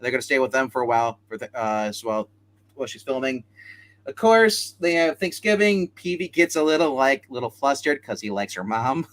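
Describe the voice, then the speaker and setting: A man's voice: mid-range voice, big pitch swings, a little fast, a bit bright. A man hosting a casual comic book chat show, talking in a chatty, slangy way. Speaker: host of a comics review show.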